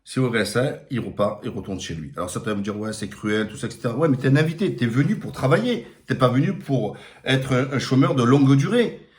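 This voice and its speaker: A man's voice: mid-range voice, lively intonation, quick pace. Blunt Marseille-accented man, live monologue. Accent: Marseille French. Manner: blunt, emphatic, with frequent fillers. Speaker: political commentator. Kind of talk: live-streamed opinion monologue.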